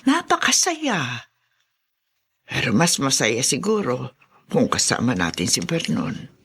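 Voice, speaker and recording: Creaky, slow-spoken Filipino grandmother. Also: rumbling low, wildly swooping pitch, a bit bright, exaggerated voice. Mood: fond, wistful. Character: elderly, tender, grateful Filipino grandmother. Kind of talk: Filipino radio drama acting.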